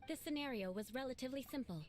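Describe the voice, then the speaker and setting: A woman's voice: high voice, animated, racing, a little mellow. A woman playing a haughty, elegant young noblewoman knight in an anime game, speaking formally with poised condescension. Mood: haughty, composed.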